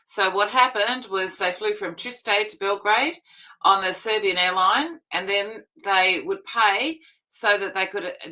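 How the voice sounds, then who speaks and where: A woman's voice: medium pitch, sharp tone, low-quality recording. A woman hosting a live news stream, chatty and emphatic in casual commentary. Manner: chatty, emphatic, quick asides.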